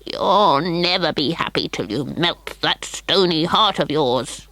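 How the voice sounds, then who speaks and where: A woman voicing a small, bent, ancient woman of the woods in a storybook reading, slow and knowing. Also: deep voice, expressive, a bit bright, dry recording, heavily acted. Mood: solemn.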